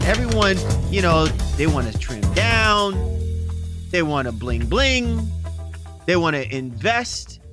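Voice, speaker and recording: A man co-hosting a sports podcast, giving emphatic, long-winded opinions in a discussion. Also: a little high. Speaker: podcast co-host.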